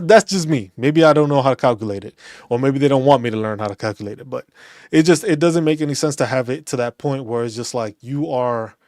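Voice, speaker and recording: Animated New man ranting, dry sound. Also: mid-range voice, no echo. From New York City. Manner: animated, ranting, repeats phrases and restarts. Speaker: veterans' channel host. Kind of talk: casual opinion talk.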